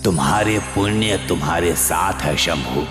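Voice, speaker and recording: An actor voicing a solemn, benevolent divine spirit in a Hindi animated moral story. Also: male voice, low-pitched, measured pace, reverberant, long reverb tail, over music.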